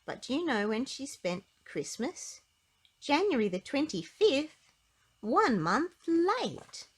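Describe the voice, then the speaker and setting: A woman narrating a children's storybook aloud in an expressive, emphatic way with clear phrasing. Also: sits high, slow pace.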